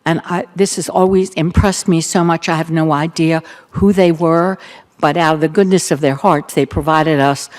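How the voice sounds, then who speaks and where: An older woman with a Baltimore accent, the keynote speaker, recounting her family's past in an earnest, reflective talk with gentle humor. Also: deep voice, steady tone, normal speed. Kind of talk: personal testimony talk.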